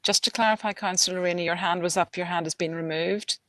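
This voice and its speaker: A woman chairing a council meeting, brisk and procedural as she calls on speakers. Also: on the low side, fairly even intonation, a bit bright, dry recording.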